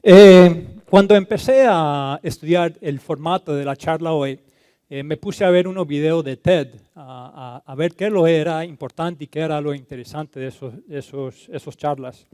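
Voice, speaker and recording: Halting Colombian-born man giving a talk. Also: a little high, mellow, smooth, dry recording. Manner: halting, repeats words, slips into English terms. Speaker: conference speaker. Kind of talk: conference talk. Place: conference hall stage.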